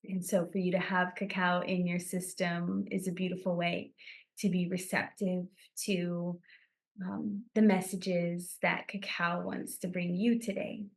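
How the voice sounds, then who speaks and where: A woman podcast guest speaking in a soothing, deliberate way, as if guiding listeners through a meditative blessing. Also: fairly low pitch, little pitch movement, creaky. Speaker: podcast guest, cacao business founder.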